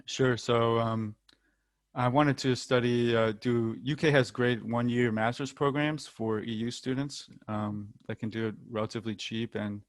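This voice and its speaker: A man's voice: mid-range voice, fairly even intonation, a little slow, no room sound. A young American man, a football player, chatting casually in an interview with frequent ums and the odd light laugh. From United States.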